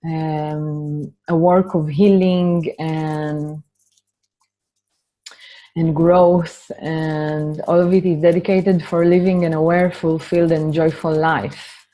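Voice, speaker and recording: A woman with an Israeli accent speaking gently and informally as she leads a spiritual healing webinar. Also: deep voice, flat delivery, takes it slowly. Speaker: spiritual healer hosting a webinar. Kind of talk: guided meditation and informal webinar talk. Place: online webinar from a computer.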